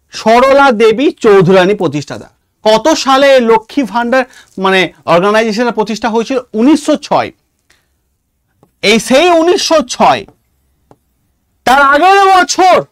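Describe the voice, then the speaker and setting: A man with an Indian Bengali accent teaching an exam coaching class in a lively, emphatic way, repeating key phrases and questions and often checking that students follow. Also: very high voice, smooth, no echo, clean studio sound. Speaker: history teacher for exam preparation.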